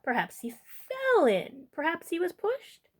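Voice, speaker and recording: A woman's voice: extremely high pitch, wildly swooping pitch, unhurried, darkish tone. A woman hosting a storytime, reading a children's book expressively and adding informal asides. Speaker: storytime host reading aloud.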